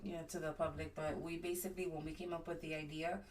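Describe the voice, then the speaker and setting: A young woman from New York speaks softly as a podcast guest, often laughing and echoing her partner. Her voice is low for a woman. She is heard from a distance, with faint noise behind her. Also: little pitch movement, quick pace. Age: young adult. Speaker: business co-founder, guest. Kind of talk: casual interview. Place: university library.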